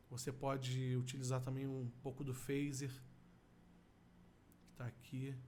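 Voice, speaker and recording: A middle-aged Brazilian man explains things step by step in an informal software tutorial. His voice sits at an average pitch, recorded in a small room with faint background noise. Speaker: audio effects instructor.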